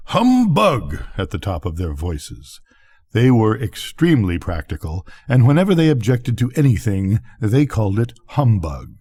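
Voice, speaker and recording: An older man with a husky voice reading a children's bedtime story aloud in an expressive narrating style. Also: on the low side. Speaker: storybook reader.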